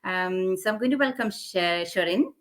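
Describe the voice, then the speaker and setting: A woman's voice: mid-range voice, moderate pace. A woman hosting a live online interview, speaking casually and a little hesitantly, with many fillers.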